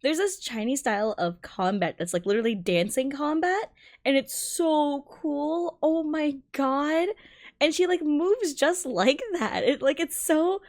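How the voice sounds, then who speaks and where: A woman streamer giving excited, exclamatory and rambling reaction commentary on a game trailer. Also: sits high, unhurried. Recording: music playing behind the voice. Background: game trailer music and dialogue.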